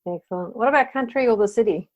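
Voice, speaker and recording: A woman's voice: fairly high pitch, quick pace, highs cut off. A woman interviewer chatting casually, asking short questions and responding in an encouraging, affirming way. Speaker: interviewer, host. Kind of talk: casual, upbeat interview chat.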